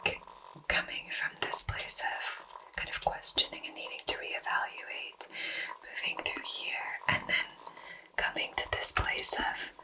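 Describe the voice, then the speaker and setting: A woman whispering in a casual ASMR chat, reflective and agreeable, with halting phrasing. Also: poor audio. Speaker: host receiving a tarot reading.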